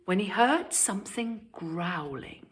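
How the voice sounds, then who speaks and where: A woman's voice: medium pitch, lively intonation, slow. A woman with a British accent reading a children's story aloud with animation, turning aside to question her young listeners. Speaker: primary school storyteller.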